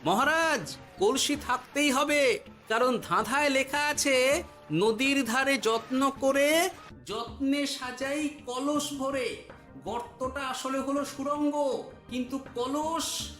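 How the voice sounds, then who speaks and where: Male quick-witted cartoon trickster, very high voice, deliberate cautioning delivery over loud music. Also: exaggerated voice. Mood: commanding, cautioning. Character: clever, commanding male village jester guiding a treasure hunt. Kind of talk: Bengali cartoon voice acting.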